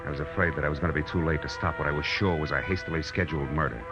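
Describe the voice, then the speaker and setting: An actor playing a tough, wry private eye in a 1940s radio crime drama, speaking in clipped slang on an old recording. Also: male voice, extremely low pitch, steady tone, racing, lo-fi sound, music in the background. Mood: grim narration. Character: hard-boiled male private eye, wry, tough and insistent. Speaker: detective and first-person narrator.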